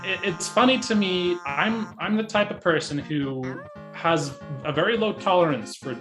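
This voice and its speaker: A slightly high-voiced guy on a podcast, thinking aloud in loose, halting loops while a guitar plays behind him. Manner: hesitant, rambling, self-correcting. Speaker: podcast co-host reviewing a movie. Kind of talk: casual chat review. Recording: music behind the voice.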